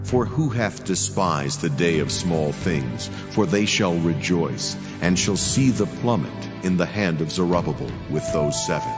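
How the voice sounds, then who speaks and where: A man playing a solemn, commanding angel who speaks God's word in a grave, measured, declamatory way, as in a dramatized scripture reading. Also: low voice, lively intonation. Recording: long reverberant hall with music underneath.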